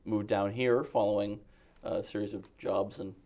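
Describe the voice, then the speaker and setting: A man's voice: on the low side, fairly even intonation, moderate pace, mellow. A man from Massachusetts, a guest in an interview, telling self-deprecating stories in a chatty way. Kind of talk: casual interview talk.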